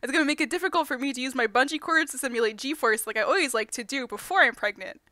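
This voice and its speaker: A woman's voice: high voice, fast talker, sharp tone, no room sound, studio quality. A woman improvising a ditzy, lovestruck mommy vlogger in a comedic dub, rambling and giggly with absurd earnestness. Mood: earnest worry. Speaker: fandub performer.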